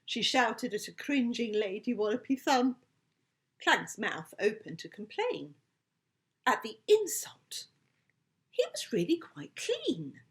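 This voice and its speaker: A woman reading a children's story aloud in an expressive, playful storytelling manner. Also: a little high, takes it slowly. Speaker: author reading her book.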